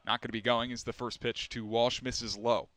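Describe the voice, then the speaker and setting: Young male play-by-play announcer, smooth clear voice, measured delivery. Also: medium pitch, flat delivery, fairly bright tone, no room sound. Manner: measured, rising for big plays. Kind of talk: baseball play-by-play commentary.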